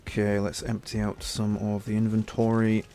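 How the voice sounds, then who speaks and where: A young man with a slightly low voice and a stuffy, bunged-up nose from a cold. He chats easygoingly over his gameplay, thinking out loud, with a faint hum of ambience behind him. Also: monotone, moderate pace. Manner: chatty, easygoing, thinks aloud. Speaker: gaming channel host. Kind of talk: casual gameplay commentary.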